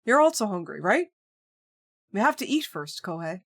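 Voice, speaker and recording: A woman voicing a cheerful, impulsive young woman companion, reading a visual novel aloud in a bright, playful voice. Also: mid-range voice, very animated, moderate pace. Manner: bright and playful, shrieking when scared.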